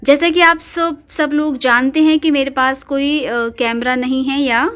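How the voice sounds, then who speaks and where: High-pitched woman, kinda hoarse from a cold, earnest devotional chat to viewers on a phone at home. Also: fairly even intonation, a little slow, fairly bright tone. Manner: earnest, questioning, speaks directly to viewers. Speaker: devotional channel host. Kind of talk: casual devotional talk to viewers. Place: home shrine indoors.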